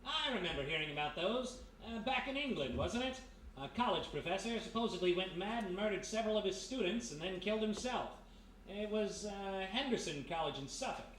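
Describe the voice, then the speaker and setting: A man's voice: sits high, animated, fairly quick, fairly bright tone. A man playing a flippant, streetwise private detective, wry and casual, in a live radio mystery. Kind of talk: live old-time radio mystery acting. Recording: echoey live stage, distant mic, faint noise.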